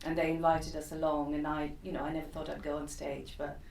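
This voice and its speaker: A woman's voice: low voice, level tone, a little fast, gravelly, darkish tone. A woman in her fifties, from East Africa, giving a keynote talk off the cuff, chatty and full of fillers and restarts. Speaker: keynote speaker. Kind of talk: unscripted personal talk. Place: conference room. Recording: roomy, from a distant mic, faint noise.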